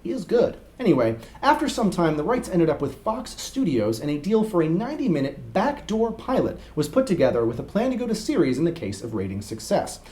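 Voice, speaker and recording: A young man presents a scripted explainer as co-host. His voice is mellow in tone, and his pitch moves around a great deal as he talks to camera. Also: sing-song, a little fast, hardly any pauses. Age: young adult. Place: small indoor room set with whiteboard.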